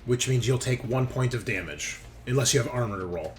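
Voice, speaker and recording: A man's voice: mid-range voice, expressive, brisk. A man acting as game master in a tabletop roleplaying game, narrating action and explaining the rules. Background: background music.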